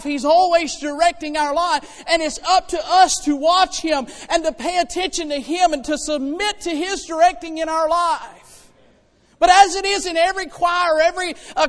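A man preaching to a congregation in a folksy, conversational way, moving between hushed asides and loud exhortation. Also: extremely high pitch. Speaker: preacher and church choir director. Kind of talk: animated sermon to a congregation. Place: church hall, preaching to congregation. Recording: reverberant hall with long echo.

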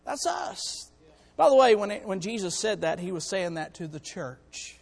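A hoarse man on church staff preaching a sermon to his congregation, in a folksy way that calls out to them. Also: high voice, animated, moderate pace, a little mellow, big-room sound. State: hoarse, has lost part of his voice. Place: church sanctuary.

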